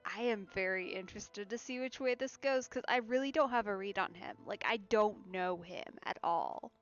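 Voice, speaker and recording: A woman's voice: medium pitch, normal speed, highs cut off. A woman giving casual, musing let's play commentary on a game stream. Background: game music.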